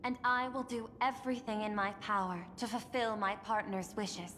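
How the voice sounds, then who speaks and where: A woman's voice: mid-range voice, fairly even intonation, fairly bright tone, in a large hall, soft background music, close to an everyday voice. A woman voicing a composed, calculating, machine-like female administrator in a video game, speaking formally and analytically. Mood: composed, persuasive. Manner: formal, measured, clipped analytical phrasing. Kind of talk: video game character dialogue.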